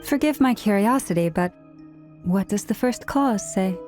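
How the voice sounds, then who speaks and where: A reserved, serious young woman from a video game , acted by a woman, speaks with measured formality. The tone is dark and relaxed at a middling pitch, over faint music. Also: close to an everyday voice. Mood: politely curious. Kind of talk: English video game dub acting.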